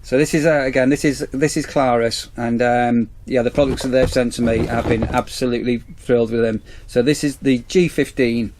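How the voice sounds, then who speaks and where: A man's voice: mid-range voice, fairly even intonation, moderate pace, hardly any pauses, darkish tone, a little hiss or hum. A man in a campsite warden role, chatting casually outdoors as he reviews a torch on an action camera. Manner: chatty, rambling, repeats "as I say". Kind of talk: casual product review vlog.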